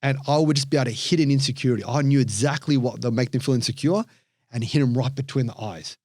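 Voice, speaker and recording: A man with an Australian accent, a podcast guest and coach, telling stories in a lively way and acting out the voices of people he quotes. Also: medium pitch, fast, no room sound, studio quality. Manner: animated storyteller, acts out quoted voices. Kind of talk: storytelling interview chat.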